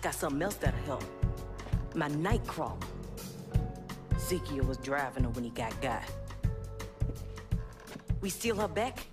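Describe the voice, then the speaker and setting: A woman's voice: deep voice, sing-song, unhurried, long pauses, loud music behind the voice. An actress playing a tough, defiant young revolutionary woman with a Southern US accent, blunt and streetwise, in a video game. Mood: urgent. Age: young adult. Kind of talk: video game cutscene acting.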